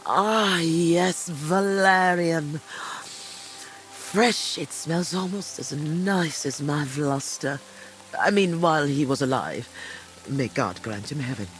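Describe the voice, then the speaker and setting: An actress playing a frail, wistful old village widow in a medieval video game, speaking in a halting, fond way. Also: female voice, low voice, soft background music. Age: older. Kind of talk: dramatic video game dialogue.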